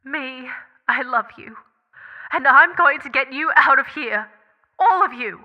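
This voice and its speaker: A woman's voice: high-pitched, expressive, a little slow, lo-fi sound. A woman playing an earnest, urgent character in a sci-fi audio drama, speaking with intensity. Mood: determined, tender.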